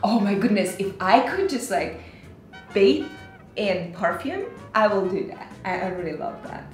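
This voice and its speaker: A woman's voice: medium pitch, animated, measured pace, roomy sound, soft background music. Giggly young woman. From Slovakia. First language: Hungarian. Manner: playful, giggly, searches for English words. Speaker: co-host, real estate agent. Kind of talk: casual chat. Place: office with desk and orchids, indoors.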